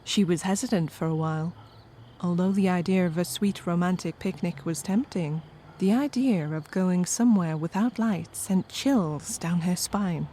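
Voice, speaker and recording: Woman narrating a funny scripted story, voice a bit low, wry little asides to the listener. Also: moderate pace, warm tone, a little hiss or hum.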